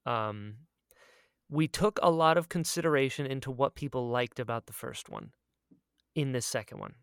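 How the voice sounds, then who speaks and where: A man co-hosting a podcast in casual chat, speaking in an excitable, emphatic way and often repeating himself for emphasis. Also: medium pitch, moderate pace, clear, resonant tone, no room sound, studio quality. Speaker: podcast co-host and audio drama creator.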